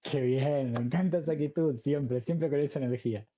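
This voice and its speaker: Through slightly dull, lo-fi audio, a man with an Argentine accent hosts an informal chat. His voice is husky and mellow, and he asks questions in a casual, conversational way. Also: fairly high pitch, a little fast. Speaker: podcast host, interviewer.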